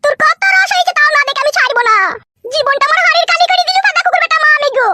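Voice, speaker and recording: Squeaky, furious cartoon wife. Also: male voice, very fast, noisy background. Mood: furious, vengeful. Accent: rural West Bengal. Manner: ranting, threatening, earthy. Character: furious, vengeful wife of a politician, theatrically boastful. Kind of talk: comedy cartoon dubbing. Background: music.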